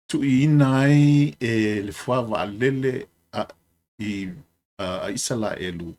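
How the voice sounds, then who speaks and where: A middle-aged man with a Samoan accent speaks in a low voice, giving casual commentary to camera. He talks haltingly, stopping often on fillers and repeating words as he searches for the next thought. His first language is Samoan. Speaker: retired Marine commentator.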